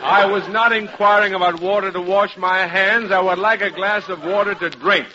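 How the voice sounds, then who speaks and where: Man acting a high-pitched, irritable, pompous professor. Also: steady tone, normal speed, lightly acted. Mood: testy. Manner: indignant, fussy, sarcastic, over-precise wording. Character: irritable, pompous college professor, easily exasperated. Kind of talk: vintage radio sitcom acting. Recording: noisy, muffled vintage radio recording.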